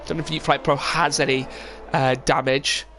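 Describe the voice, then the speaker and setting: A young man with a stuffy head cold talks through a sim race on a home stream, loose and rambling with plenty of ums. His voice sits a little high for a man, with a faint hiss of noise behind him. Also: level tone, brisk, fairly bright tone. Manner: casual, rambling with many fillers. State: full of cold, sniffly. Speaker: sim racing commentator. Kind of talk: live stream race commentary.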